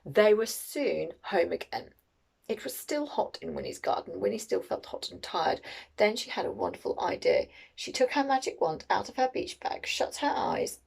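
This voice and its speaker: Female picture-book reader for children, expressive and lively, husky with some creak. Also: mid-range voice, rough recording. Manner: expressive, questioning, teacherly. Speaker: storyteller reading to children. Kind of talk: animated picture-book read-aloud.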